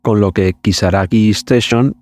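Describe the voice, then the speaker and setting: A man narrating a script in a measured, explanatory, documentary-like way. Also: on the low side, fairly even intonation, a little mellow.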